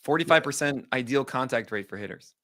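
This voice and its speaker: Male podcast host, bright and forceful tone, casual analytic banter, dry close mic. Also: medium pitch, animated, no room sound. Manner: jokey, quick asides, trails off and restarts. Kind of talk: casual baseball analysis chat.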